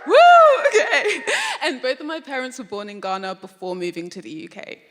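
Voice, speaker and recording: A woman's voice: medium pitch, very animated, normal speed, a bit bright. A young British woman student giving an earnest, reflective talk to a live audience. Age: young adult. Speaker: student speaker. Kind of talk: prepared public talk. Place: lecture hall with audience. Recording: long hall reverb.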